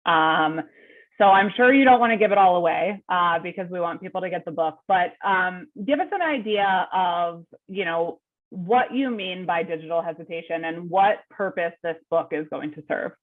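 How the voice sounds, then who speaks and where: Sniffly woman host w a cold, short measured questions, thin phone-y audio. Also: fairly low pitch. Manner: brief affirmations, measured questions. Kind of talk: interviewer questions. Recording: tinny remote call audio.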